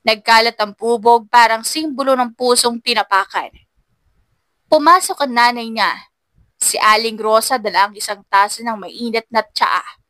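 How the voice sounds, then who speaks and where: A woman's voice: on the high side, normal speed, bright, forceful tone, no room sound. A woman narrating a scripted audiobook story in an emotive, dramatic manner.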